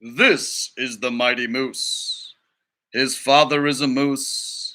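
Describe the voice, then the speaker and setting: A man's voice: medium pitch, steady tone, bright, forceful tone, voice pushed down. A man voicing a grand nature documentary narrator in a storybook, solemn and portentous in delivery. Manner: slow, portentous.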